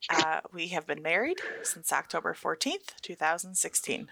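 Female podcast guest, bright and lively, easygoing and unsure in her answers; dry recording. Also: sits low, moderate pace, gravelly, dry recording, poor audio. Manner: relaxed, unsure answers with fillers. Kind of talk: casual interview chat.

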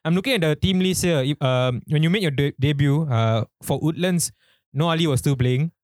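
A man's voice: fairly high pitch, a little fast, darkish tone, dry recording, clean studio sound. A man with a Singaporean English accent hosting an interview, chatty and asking leading questions. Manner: chatty, asks leading questions, reads stats aloud. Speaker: interviewer host. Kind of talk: casual interview.

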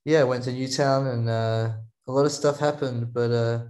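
A man's voice: medium pitch, steady tone, darkish tone. Australian man rambling in an echoey room. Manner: rambling, halting, restarts often. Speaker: podcast guest, songwriter. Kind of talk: casual interview storytelling.

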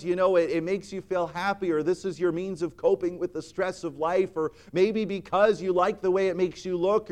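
A man preaching a sermon in a church, earnest and emphatic, swinging between loud and soft, and reciting scripture in old-fashioned wording. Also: sits high.

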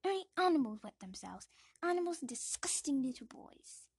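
A woman reading aloud and voicing a harsh middle-aged aunt who scolds loudly, sharply and with contempt. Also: sits high, expressive, normal speed, slightly rough, no echo, studio quality, voice pushed up, full character voice. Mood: contemptuous.

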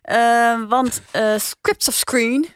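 A woman's voice: a little high, fairly even intonation, very slow, smooth, dry recording, studio quality. A woman with a Netherlands Dutch accent, hosting a podcast and chatting casually as she reviews. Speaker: podcast host. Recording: music bed behind the voice.

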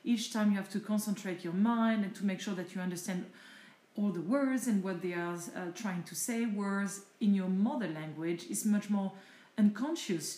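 French-accented woman with a mellow voice. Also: mid-range voice, echoey room. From France. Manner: thoughtful, chatty answers with searching pauses. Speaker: interviewee, mother of the host. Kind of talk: casual home interview.

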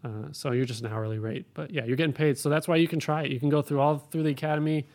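A man's voice: fairly high pitch, steady tone, fast talker, a little mellow. A man who works as an air traffic controller, answering interview questions in a plain, practical, explanatory way.

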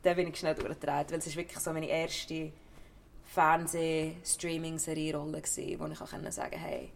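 Young Swiss German woman, low gravelly voice. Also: lively intonation, normal speed, a little hiss or hum. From Switzerland. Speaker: actress, interview guest. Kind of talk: casual interview chat.

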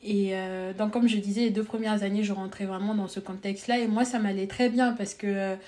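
A woman's voice: mid-range voice, a little fast. A young woman with a Congolese accent touched by French, telling a personal story as a podcast guest, earnest and reflective. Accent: Congolese with French influence. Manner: reflective, earnest, lively when recounting.

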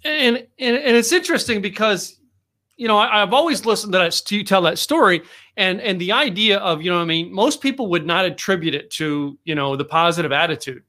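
A man's voice: high-pitched, fairly quick, bright, forceful tone. A man hosting a casual interview on a video call, rambling and repeating himself with lots of fillers. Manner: rambling, repeats words, lots of "you know". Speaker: podcast host.

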